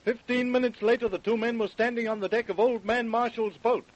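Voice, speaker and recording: A man's voice: very high-pitched, steady tone, brisk. A man giving scripted narration for an old-time radio crime drama. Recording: dull vintage radio recording.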